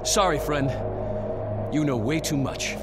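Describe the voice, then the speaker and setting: A man's voice: fairly high pitch, unhurried, lightly acted. A man playing a cold, condescending crooked cop in a video game, talking in a threatening, colloquial way. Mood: menacing. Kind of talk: dramatic video game acting. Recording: loud music behind.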